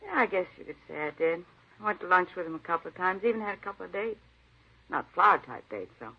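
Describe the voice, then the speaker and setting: An actress playing an opinionated, slightly defensive store employee in a 1950s radio drama, talking in an emphatic, colloquial way. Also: female voice, lowish voice, big pitch swings, fast talker, slightly rough, heavily acted. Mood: casual. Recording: dull old-time radio recording.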